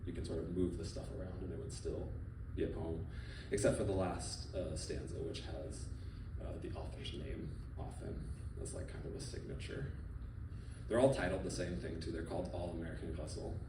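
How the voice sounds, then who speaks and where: A man with a fairly low voice reads his poems aloud at a live event, breaking into loose, joking banter between pieces. He stands back from the mic, and the sound carries through a roomy hall. Steady room noise sits underneath him. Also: moderate pace, distant mic. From Philippines. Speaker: poet. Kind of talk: live poetry reading with banter. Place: live reading venue. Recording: roomy live venue, off-mic, audience ambience.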